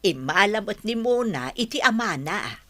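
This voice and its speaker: A woman playing a calming Ilocano grandmother giving advice in a comedy radio drama. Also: mid-range voice, moderate pace, dry recording. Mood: soothing, advising. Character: calming village grandmother advising her daughter.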